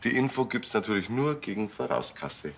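An actor playing a slick, mercenary informant in a TV crime comedy, cheeky and businesslike. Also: male voice, fairly low pitch, sing-song, moderate pace, slight background noise. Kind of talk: naturalistic TV crime comedy acting.

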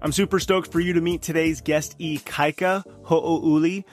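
A man's voice: a little high, steady tone, moderate pace, faint music behind. A man in his forties hosting a podcast, friendly and upbeat, in easy casual chat. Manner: upbeat, friendly, laid-back. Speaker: podcast host.

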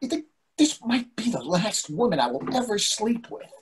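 A man's voice: high voice, sing-song, normal speed, husky, exaggerated voice. An older man reading the part of an anxious, bossy husband in a stage play, fretful and full of questions. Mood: exasperated, wry. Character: anxious, bossy, needling husband in long marriage. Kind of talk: readers theatre play reading. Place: indoors on a video call.

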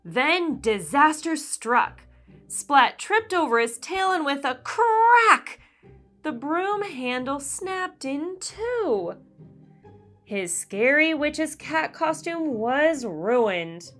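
A woman reading a children's storybook aloud in an expressive, deliberate storyteller style. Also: high voice, faint music behind. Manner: animated, deliberate storyteller delivery. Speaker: children's read-aloud host. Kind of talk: expressive scripted storybook narration for kids.

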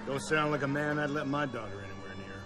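A man's voice: medium pitch, quick pace, music in the background, natural voice. A man playing a jaded, needling veteran 1940s police partner in a video game, sardonic in manner. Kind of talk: video game drama dialogue.

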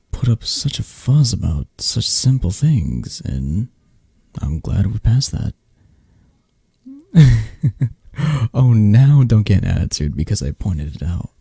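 A man playing a possessive, tender, flirtatious mafia boss in an intimate, soft-spoken ASMR roleplay, murmuring and coaxing with hesitant phrasing. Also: fairly low pitch, creaky, slightly rough, no echo, clean studio sound, heavily acted. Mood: flirty, coaxing.

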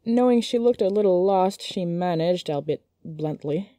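Mellow woman narrating a story. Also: lowish voice, normal speed, no echo.

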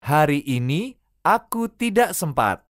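A woman reading short phrases slowly and clearly, each repeated for language learners. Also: sits low, very animated, no echo, studio quality. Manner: careful, clearly enunciated. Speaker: native Indonesian speaker reading phrases.

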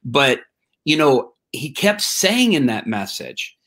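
A man hosting a casual interview, speaking haltingly with repeated words and encouraging interjections. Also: medium pitch, sing-song, a bit bright. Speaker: host.

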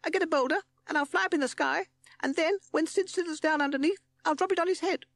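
Excitable squeaky little cartoon bat. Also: male voice, quick pace, gravelly, no echo, heavily acted. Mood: excited, eager.

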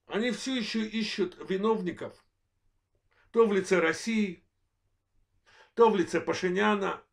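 Gravelly worn-out voice, guy in his 40s from azerbaijan doing a political rant on his channel, stop-start phrasing. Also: high-pitched. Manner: measured, halting delivery in short phrases. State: voice worn, apologizes for it. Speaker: channel host, political commentator. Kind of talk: monologue political analysis.

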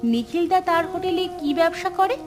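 A woman's voice: very high voice, lively intonation, moderate pace. A woman as a practical village wife over music. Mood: encouraging. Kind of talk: Bengali cartoon voice acting. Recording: background music under voice.

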